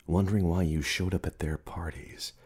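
A man performing a radio play as a merciless, taunting inner narrator, speaking in literary second person with theatrical, accusing emphasis and a husky voice. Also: low voice, fairly even intonation, moderate pace, darkish tone. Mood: bitter, mocking.

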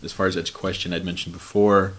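A man with a very deep voice poses a long, winding interview question. He hesitates and circles as he builds toward his point. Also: flat delivery, brisk, a bit bright.

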